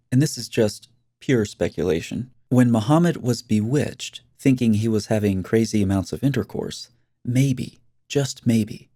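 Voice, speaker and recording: A man's voice: on the low side, dark tone, no room sound, studio quality. A man hosting a scripted commentary video, speaking in a sarcastic, mocking way.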